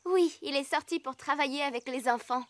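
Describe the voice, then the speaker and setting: A woman's voice: sits high, fairly quick, no echo, close to an everyday voice. A woman voicing a caring, sensible female rescuer in a French cartoon dub.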